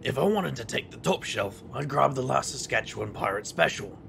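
A man playing a cocky, wry magician hero in an urban fantasy audio drama, gravelly and bantering. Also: mid-range voice, expressive, fairly quick, a bit bright, a little hiss or hum. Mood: casual teasing. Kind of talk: audio drama dialogue and first-person narration.

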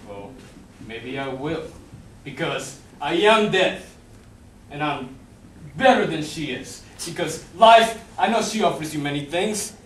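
A man's voice: on the high side, lively intonation, slow. A young man playing a mocking, smug Death in an amateur stage play, with a sardonic, taunting delivery. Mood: persuasive. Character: mocking, smug hooded Death, male, bargaining for souls. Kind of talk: theatrical stage acting. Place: indoor theatre stage. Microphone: distant audience camera. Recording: echoey theatre stage from far off, faint noise.

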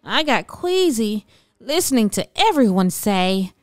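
A woman's voice: on the high side, big pitch swings, unhurried, no echo, clean studio sound. A woman reading a children's story aloud expressively, drawing out key words with theatrical emphasis. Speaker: storybook narrator. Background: background music.

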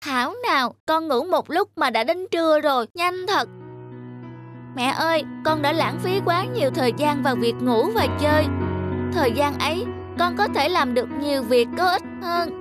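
A woman voicing a childlike, expressive young rabbit, sleepy, lazy and earnest, for a children's cartoon. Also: high-pitched, moderate pace. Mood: amazed, earnest. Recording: background music under the voice.

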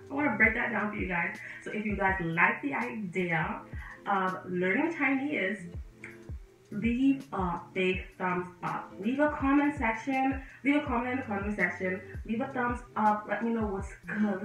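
A woman in her twenties from the Bahamas with a Bahamian accent, chatting to camera in a lively, animated vlog, gesturing as she talks. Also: medium pitch, normal speed. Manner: lively and animated, gesturing with both hands, chatty and direct to viewers. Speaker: vlogger and medical student. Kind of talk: casual sit-down vlog talking to camera. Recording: echoey room, voice a bit distant, faint music behind.

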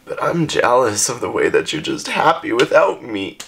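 A man's voice: medium pitch, sing-song, vocal fry, fairly bright tone, slight background noise. Man acting a tearful, heartbroken jealous young lover. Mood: quiet hurt. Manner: halting, emotional, breaking into whispers and cries. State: crying. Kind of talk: dramatic monologue reading. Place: small indoor room.

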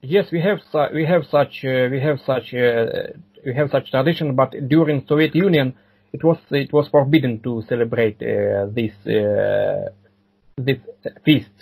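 Guy in his 40s on a podcast, raspy voice, halting english, says things twice. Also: mid-range voice, fairly even intonation, a little mellow, rough recording. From Ukraine. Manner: halting, repeats words and phrases. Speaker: podcast guest. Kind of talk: casual interview answers.

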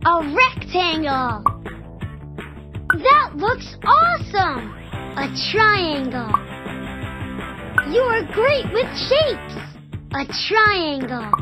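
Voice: very high voice, full character voice. A child-voiced performer playing an eager, friendly little boy who gives enthusiastic praise and instructions in an educational cartoon game.

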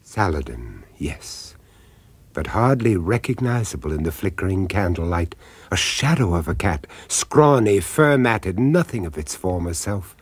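Slow, ominous horror storyteller. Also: male voice, low voice, big pitch swings, husky, no room sound, full character voice. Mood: ominous, hushed. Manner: deliberate, suspenseful, dramatic pauses. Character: grave, ominous male storyteller of a horror tale. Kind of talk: scripted narration in radio horror drama.

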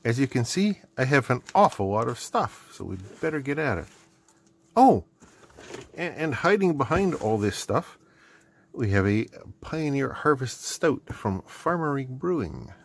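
A man's voice: medium pitch, very animated, unhurried. A middle-aged man giving casual unboxing commentary, rambling and thinking aloud as he goes. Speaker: host. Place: indoor hobby workbench.

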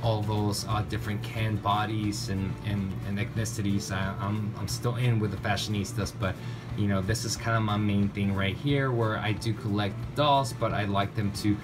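A man's voice: fairly low pitch, steady tone, normal speed. Enthusiastic man reviewing toys, music behind. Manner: enthusiastic, gushing, lots of "really, really". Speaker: toy reviewer. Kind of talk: casual unboxing review. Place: indoors, tabletop setup. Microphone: off-camera narration, camera on hands.

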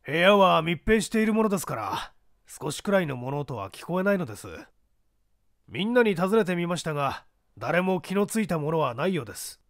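A businesslike male clerk gives testimony in a mystery story, voiced by a man reading aloud. He is polite and explanatory, in a high, expressive voice. The sound is dry. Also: fairly quick, no echo. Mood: matter-of-fact. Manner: deferential, matter-of-fact, explanatory.

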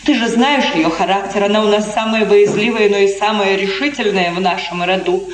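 A woman's voice: mid-range voice, steady tone, brisk, close to an everyday voice. An actress playing an anxious, worried noblewoman with an emotional, theatrical delivery in a 1950s radio play. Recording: roomy old 1950s radio recording.

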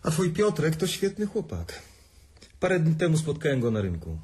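An actor playing an insistent younger brother. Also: male voice, on the high side, wildly swooping pitch, normal speed, a little mellow, a little hiss or hum. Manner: insistent questioning. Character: earnest younger brother, probing. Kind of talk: radio drama acting.

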